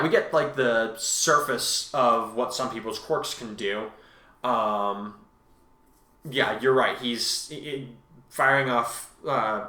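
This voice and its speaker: A man chats informally as he responds to reviews. His voice is bright and sits at an average pitch. The room around him is noticeably echoey. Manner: chatty, informal. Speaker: fan fiction author and co-host. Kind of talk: casual chat answering reviews.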